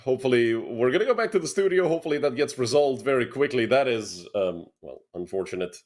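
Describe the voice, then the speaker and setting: A man's voice: mid-range voice, animated, fast, darkish tone. A young man from Serbia casting an esports match alone, chatting with viewers between plays. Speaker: esports caster. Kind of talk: solo play-by-play commentary.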